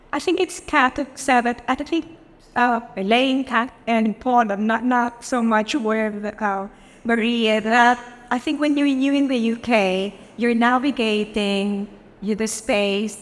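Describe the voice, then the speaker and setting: A woman from the Philippines moderating a panel, speaking reflectively with many pauses and fillers. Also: a little high. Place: conference hall. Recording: long hall reverb.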